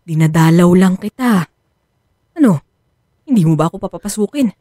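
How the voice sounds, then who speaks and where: An actress playing a conflicted wife torn between family and lover in a radio drama. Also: female voice, lowish voice, lively intonation, normal speed, dark tone, dry recording, studio quality. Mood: concerned.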